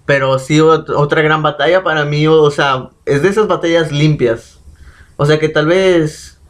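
A young man with a Mexican accent chatting casually as a co-host, relaxed and slangy with lots of fillers. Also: a little high, moderate pace. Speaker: podcast co-host. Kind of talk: casual chat about rap battles.

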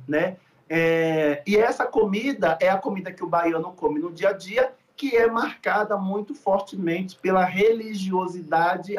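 A man from Salvador, a podcast guest talking in an earnest, reflective way with many fillers. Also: sits high. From Salvador, Bahia, Brazil. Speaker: guest, food researcher. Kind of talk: podcast interview.

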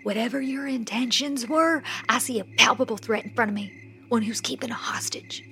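Vindictive Confederate infantrywoman: high, cutting voice, menacing and commanding, radio-play style. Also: fairly quick, sharp tone, soft background music. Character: vindictive, self-righteous female Confederate infantry soldier. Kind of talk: radio drama acting.

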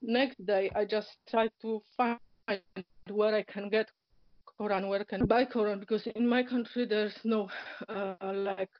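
Guest: woman, Polish accent, Polish native speaker, hesitant storyteller who laughs softly, lo-fi dry call audio. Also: medium pitch, fairly even intonation, unhurried, no room sound. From Poland. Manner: hesitant, many fillers, nonnative grammar, frequent soft laughs. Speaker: interview guest. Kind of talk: personal story in casual conversation. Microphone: online call. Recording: dull, lo-fi internet call audio.

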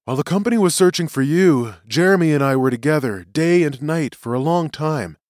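A man playing a gentle, earnest prisoner in an audiobook, reasoning sincerely. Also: fairly high pitch, lively intonation, fairly quick, dry recording, studio quality, voice pushed up, close to an everyday voice. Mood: sincere, pleading. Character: earnest, gentle male prisoner sympathetic to humans.

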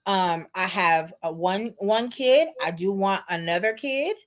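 A woman's voice: mid-range voice, animated, measured pace. A self-assured woman in her early forties talks through her own story in a casual chat. She keeps checking in with her listener as she goes. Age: 40s.

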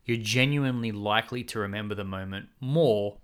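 A man's voice: fairly low pitch, brisk, smooth. A man in his thirties co-hosting a casual podcast chat, thinking aloud with fillers and restarts, close to a studio mic. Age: 30s. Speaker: podcast co-host. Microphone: studio mic with pop filter.

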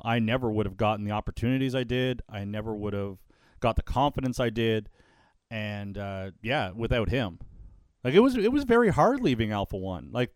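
A man who works as a wrestling ring announcer, telling stories in a casual podcast chat. He has a loud voice and gets animated, often repeating words when he is excited. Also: medium pitch, no echo.

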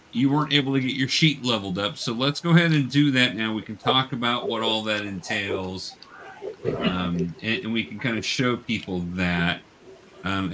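A man with a medium-pitched voice runs a tabletop game as host and game master. He explains things casually in a halting way, pausing often. Faint noise sits behind his voice. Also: bright, forceful tone, rough recording.

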